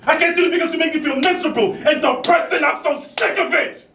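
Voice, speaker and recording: A man performs an embittered bar owner and father in a dramatic monologue, confrontational and full of self-blame. He speaks haltingly and hammers phrases by repeating them. The mic is distant, the room echoes, and a clear rumbling noise sits underneath. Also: natural voice. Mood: anguished, furious.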